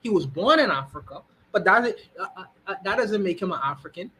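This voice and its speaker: Bright-voiced man, halting speech. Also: sits high, lively intonation, breathy edge, low-quality recording. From Bahamas. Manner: many restarts and repeated "you know what I mean". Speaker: guest. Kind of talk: casual opinion talk.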